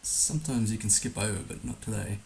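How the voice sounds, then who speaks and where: A hoarse man musing hesitantly aloud as he gives casual live commentary on a game he is streaming. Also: lowish voice, fairly even intonation, brisk, a little mellow. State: hoarse, recovering from being under the weather. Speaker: livestream host playing a geography guessing game. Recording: noticeable background hiss.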